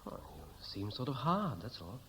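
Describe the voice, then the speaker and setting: Young Welsh bridegroom, lively pitch. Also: male voice, normal speed, slight background noise. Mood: mild, placating. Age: 20s. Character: easygoing young Welsh bridegroom, slightly defensive. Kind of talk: 1960s radio drama acting.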